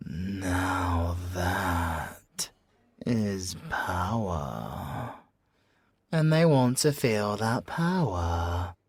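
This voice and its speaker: A low-voiced British man plays a sly, possessive villain in an audio roleplay. He draws his words out very slowly and breathily, teasing and theatrical, with swooping pitch. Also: darkish tone, slightly rough, dry recording. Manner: teasing, drawn-out, theatrical delivery. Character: sly, seductive, possessive male villain toying with an officer. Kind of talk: theatrical ASMR character roleplay.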